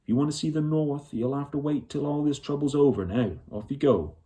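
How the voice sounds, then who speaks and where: A firm, protective older leader of river folk from a storybook, acted out by a male reader. He speaks with stern authority in a folksy way, with a dark, soft-edged tone. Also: on the high side, brisk, pitched above the performer's own voice, close to an everyday voice.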